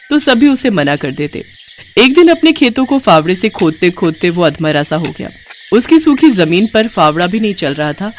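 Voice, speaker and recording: A woman's voice: fairly low pitch, a little hiss or hum. A woman narrating a scripted story as a storyteller.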